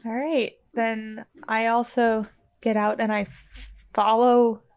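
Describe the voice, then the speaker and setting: A woman's voice: on the high side, flat delivery, slow pace, smooth. A woman playing a tabletop role-playing game, chatting casually as she explains things. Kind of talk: casual chat.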